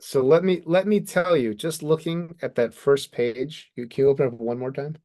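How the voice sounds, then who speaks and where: A man co-hosting a casual talk about comic books, speaking as an excited fan who swears mildly. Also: mid-range voice, moderate pace. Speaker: podcast co-host. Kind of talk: casual enthusiastic comic book discussion.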